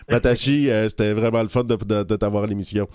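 A man hosting a radio interview, asking friendly, relaxed questions. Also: fairly low pitch, normal speed, smooth, no room sound, clean studio sound. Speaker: radio host.

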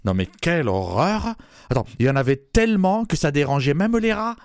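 A man's voice: fairly high pitch, very animated, a little fast, clean tone, dry recording, clean studio sound. A man voicing a brash, mannish woman from Toulouse, a former rugby player, with a southern French accent, bawdy and punning, chuckling at his own jokes in a radio comedy sketch. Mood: cheeky.

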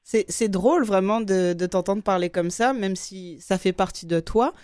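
An easygoing co-host who chats in a friendly way. The voice sits at a middle pitch. The sound is dry and close. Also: normal speed, a little mellow, smooth, dry recording. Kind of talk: casual interview conversation.

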